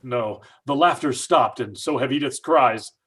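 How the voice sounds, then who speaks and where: A man's voice: mid-range voice, unhurried, a bit bright. An actor playing a desperate, guilt-stricken father in an old-time radio horror play, stammering and agitated. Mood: anguished. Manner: agitated, stammering, breathy exclamations. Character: desperate, guilt-stricken father searching for his abducted daughter.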